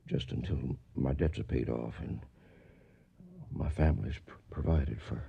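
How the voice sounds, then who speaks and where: Dying old cowboy in a radio western, weak breathy halting voice, real low and slow, gentle and sorry. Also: male voice, highs cut off, exaggerated voice. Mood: weak, regretful. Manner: faltering, pausing between phrases. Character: dying older cattle drover, gentle, weak and regretful. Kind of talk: radio western drama acting.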